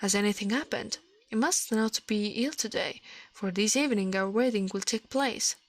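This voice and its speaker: A woman's voice: mid-range voice, normal speed, lightly acted. A woman voicing a proud, credulous princess in a storybook, with a gracious, regal manner. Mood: concerned.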